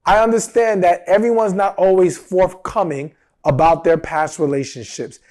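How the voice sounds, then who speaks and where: A man with a fairly high-pitched voice talks straight to his audience like a coach, earnest and emphatic. His voice carries a slight roughness from a cold he is getting over. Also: darkish tone. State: recovering from a cold. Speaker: dating advice host. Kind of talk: direct-to-camera advice talk.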